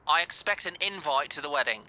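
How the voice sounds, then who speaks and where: A woman's voice: low-pitched, animated, fairly quick, pushed and bright, dry recording, heavily acted. A woman playing a brash, foul-mouthed, grudgingly fond businesswoman in an audio drama phone call, blunt and sardonic. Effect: speakerphone.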